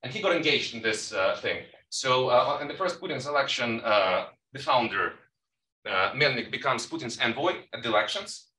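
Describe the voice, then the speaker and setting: A man presenting research at a conference panel, talking haltingly with many fillers and quick asides to the audience. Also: medium pitch, animated, pushed and bright. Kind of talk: academic presentation. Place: conference room. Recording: echoey room, distant mic.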